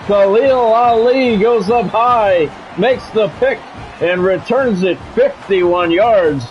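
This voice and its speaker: A man's voice: high-pitched, slow pace, highs cut off, soft background music. A middle-aged American man streaming a sports video game, mixing casual chat with punchy announcer-style play-by-play. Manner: conversational, switching into punchy announcer-style calls.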